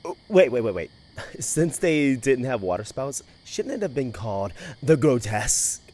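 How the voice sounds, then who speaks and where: An actor playing a joking, slightly nervous young friend in casual audio drama banter. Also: male voice, mid-range voice, very animated, moderate pace, darkish tone. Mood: amused. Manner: joking, hesitant. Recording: faint ambience behind.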